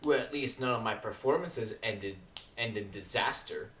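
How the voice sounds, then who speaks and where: A man's voice: on the low side, a bit bright. Man voicing a prim mare in echoey room. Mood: stiff, defensive. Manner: prissy, deliberate, disdainful. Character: prim, haughty female pony cellist, cultured. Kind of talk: amateur dramatic fan fiction reading. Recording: echoey room with audible background noise.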